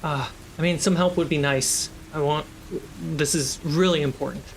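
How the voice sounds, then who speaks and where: A man's voice: a little high, fairly even intonation, normal speed, vocal fry, a little hiss or hum, rough recording. A man working as game master, casually narrating and improvising characters for a tabletop role-playing game.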